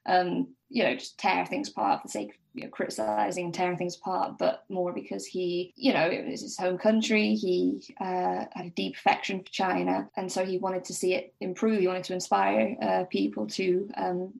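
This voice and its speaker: A woman's voice: lowish voice, flat delivery, moderate pace, gravelly, few pauses, a little mellow, poor audio. A woman speaking as a podcast guest, explaining things informally and tentatively, piecing facts together aloud.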